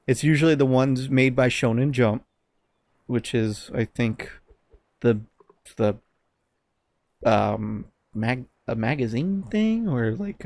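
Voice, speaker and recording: A man's voice: mid-range voice, darkish tone, no room sound. Male podcast co-host, informal rambling storyteller, loose conversational delivery, very dry close sound. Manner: rambling, informal, profane at times. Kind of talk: casual podcast chat, telling anecdotes.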